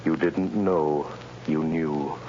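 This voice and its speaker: Vintage radio mind-reader: intense, hypnotic, deep and deliberate, on a dull hissy recording. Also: male voice, steady tone, loud music behind the voice. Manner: deliberate, hypnotic, urgent commands. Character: commanding mysterious male mind-reader, intense and probing. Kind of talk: 1930s radio mystery acting. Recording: muffled old radio recording, clear hiss.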